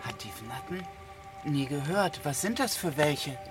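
A whiny, drawling little creature. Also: male voice, a little high, a little slow. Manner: whiny, excitable. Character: small fussy male companion creature. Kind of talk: children's radio play. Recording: loud music bed under dialogue.

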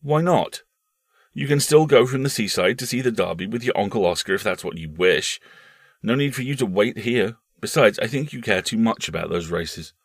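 Brief: weary stern mother, a male reader's put-on voice, raised pitch, a little gravelly. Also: expressive, normal speed, dry recording, studio quality. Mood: worried. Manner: firm yet tired, worried.

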